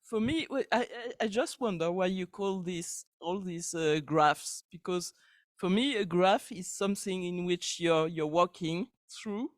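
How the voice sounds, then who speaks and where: Loud high-voiced man questioning a panel. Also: a little slow, dry recording, studio quality. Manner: loud, hesitant, stumbling restarts. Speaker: audience questioner. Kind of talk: conference question.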